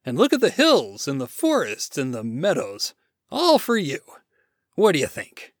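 A man's voice: fairly high pitch, huge pitch swings, measured pace, no echo, studio quality, exaggerated voice. A man voicing a mighty, boastful and theatrical God, easily wounded, in a storybook reading. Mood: proud, enthusiastic. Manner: grandiose, showman-like. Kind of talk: short story read aloud.

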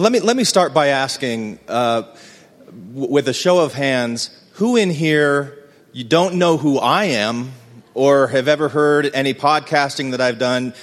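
A man's voice: medium pitch, in a large hall. A man giving a casual conference talk, rambling with hesitant fillers and restarts in a self-deprecating way. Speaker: conference presenter. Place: conference room.

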